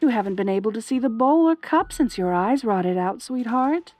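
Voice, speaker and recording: Woman voicing a possessive centaur girl, higher pitch, halting, pleading, tender, desperate, audio roleplay. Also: animated, darkish tone, soft background music, close to an everyday voice. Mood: tender, coaxing. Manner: halting, pleading, sighing delivery. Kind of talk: roleplay ASMR audio drama.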